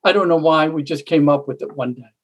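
A middle-aged woman speaking as a panelist, answering in a measured, thoughtful way with some halting pauses. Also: low voice, slightly rough.

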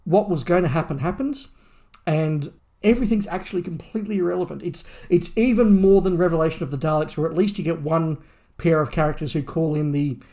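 A man's voice: fairly high pitch, brisk, dark tone. A man co-hosting a podcast, discussing a book casually in an analytical, opinionated way with emphatic repetition. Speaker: podcast co-host.

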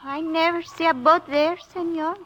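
An actress playing a wary Mexican wife in a 1950s radio drama, speaking broken English with a Mexican accent. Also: female voice, very high-pitched, steady tone, normal speed, pushed and bright. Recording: noisy 1950s radio broadcast, slightly distant.

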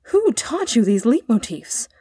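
A woman's voice: a little high, sing-song, unhurried, mellow, breathy edge, no room sound, studio quality, pitched above the performer's own voice, full character voice. A woman voicing a pompous, irritable and prim grown man in a storybook, speaking in a snappish, haughty way. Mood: scornful. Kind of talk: storybook character reading.

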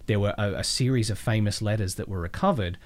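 A man's voice: on the low side, quick pace. A man chatting casually as an interview guest, telling his story with asides. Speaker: voice actor guest.

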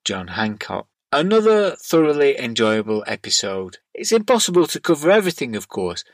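A man's voice: mid-range voice, big pitch swings, moderate pace, dry recording. A middle-aged man reading scripted narration and listener letters aloud as a podcast host.

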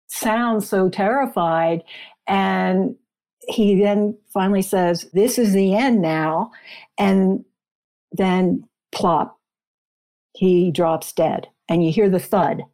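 A woman's voice: mid-range voice, mellow. A woman speaking as an interviewed author, explaining and retelling in a conversational style.

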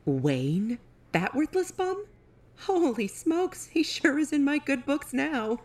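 A woman's voice: high voice, sing-song, slow, full character voice. An actress playing a loving but firm wife and mother in an audio drama, with a folksy, colloquial way of talking. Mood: surprised.

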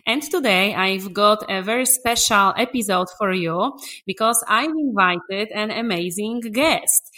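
A woman's voice: medium pitch, sharp tone. Upbeat woman hosting a podcast. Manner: enthusiastic, motivational. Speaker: podcast host.

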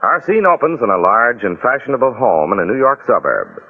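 A man's voice: mid-range voice, big pitch swings, brisk, slightly rough. A man announcing and narrating a 1940s radio drama in a formal, declamatory style. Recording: tinny 1940s radio recording with loud music. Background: loud music.

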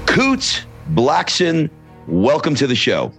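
A man hosting his own podcast and coaching show, reading upbeat promotions and then asking questions in a softer, measured way. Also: a little high, wildly swooping pitch, gravelly, faint music behind. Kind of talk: scripted show intro, then interview questions.

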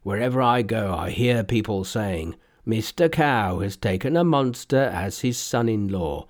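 Narrator voicing an old farmer, grumbling, slow, a little lower and softer. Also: animated, lightly acted. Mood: aggrieved. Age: older. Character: worried old male farmer.

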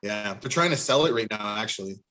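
A man's voice: on the low side, expressive, a little fast, pushed and bright. A man from Canada bantering casually as a podcast panelist.

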